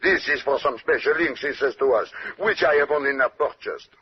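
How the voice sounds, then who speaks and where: An actor playing a brilliant, self-assured Belgian detective with a French-speaking accent, speaking precisely and courteously in old-time radio drama style. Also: male voice, mid-range voice, a little fast, slightly rough. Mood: confident, explanatory. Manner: precise, lecturing, punctuates points with questioning "eh?". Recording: dull, noisy old radio recording.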